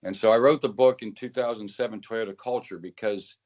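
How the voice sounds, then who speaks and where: An older man speaking as a keynote guest on a webinar call, measured and thoughtful with frequent pauses. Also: lowish voice, fairly even intonation, darkish tone. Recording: dull, muffled call audio.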